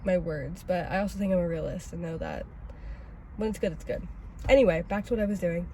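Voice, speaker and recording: A young woman with a cold, sounding raspy and stuffy, chatting casually and rambling in a self-critical way as she vlogs from her car. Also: lowish voice, expressive, fairly quick, dark tone, slight background noise. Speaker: vlogger host. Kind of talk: casual oversharing vlog talk. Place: inside a parked car.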